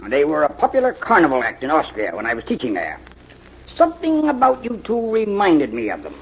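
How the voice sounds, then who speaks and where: Husky, stern older professor. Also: male voice, a little high, huge pitch swings, fairly quick, heavily acted. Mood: probing. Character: stern older professor, suspicious academic investigator. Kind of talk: 1940s radio mystery acting. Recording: dull vintage radio recording.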